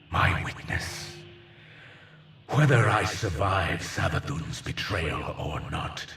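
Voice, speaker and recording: An ancient alien prophet, grave and unhurried. His tone is very deep and gravelly, and every word comes slow and ominous. The sound is roomy and a little distant, with a faint hiss behind. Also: fairly bright tone, heavily acted.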